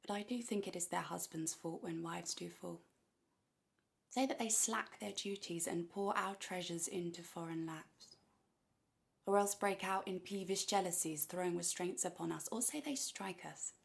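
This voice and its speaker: A woman acting a classical Shakespearean monologue as a wronged, bitter wife, measured and quietly defiant in deliberate verse. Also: on the low side, vocal fry. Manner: slow, deliberate verse delivery.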